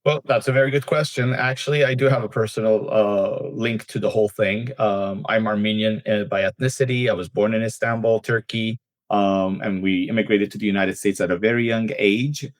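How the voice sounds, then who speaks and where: A man's voice: fairly low pitch, fairly even intonation, fairly quick, dry recording. A man who works as an immigration attorney, telling anecdotes as a podcast guest with frequent "uh" fillers. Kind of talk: casual podcast interview.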